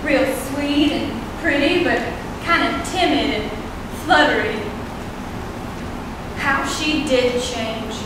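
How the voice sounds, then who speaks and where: Young woman playing a rueful farm wife, high-pitched, echoey. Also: takes it slowly, pauses often. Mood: regretful, reflective. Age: young adult. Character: regretful, plain-spoken farm wife, early 1900s. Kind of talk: amateur stage play acting. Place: small theatre stage, filmed from audience. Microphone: distant camera. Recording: echoey, noisy stage recording from far off.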